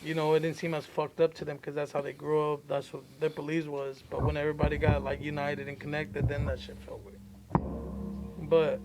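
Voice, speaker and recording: A man's voice: on the high side, monotone, fairly quick, noisy background. A man with a slightly raised voice, chatting on a podcast. He tells anecdotes in a loose, swearing manner and throws questions to the group. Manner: storytelling, profane, questioning. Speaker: podcast co-host. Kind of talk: casual chat.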